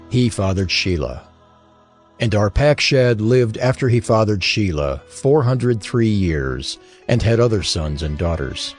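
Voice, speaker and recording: A man narrating scripture in a solemn, measured and formal scripted reading. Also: on the low side. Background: faint music.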